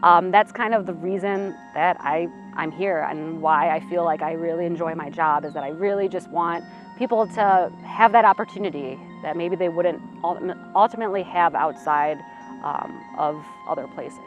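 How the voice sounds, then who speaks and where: A woman's voice: fairly low pitch, moderate pace. A woman in her thirties from Illinois, a museum program coordinator answering interview questions in an earnest, reflective way with frequent "uh" and "um". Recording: slightly muffled audio with faint music behind.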